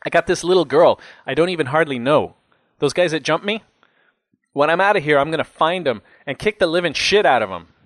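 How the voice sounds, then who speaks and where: A man's voice: fairly high pitch, lively intonation, brisk, fairly bright tone, dry recording, pitched above the performer's own voice. A man voicing an angry, foul-mouthed jailed man in his late twenties, ranting and agitated in an audiobook story. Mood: bitter, vengeful. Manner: agitated, ranting, heavy swearing.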